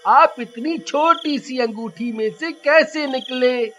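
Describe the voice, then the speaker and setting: A woman voicing an innocent, earnest young schoolboy in a children's story, childlike and deliberate. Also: high-pitched, a bit bright, a little hiss or hum. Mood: wondering, earnest.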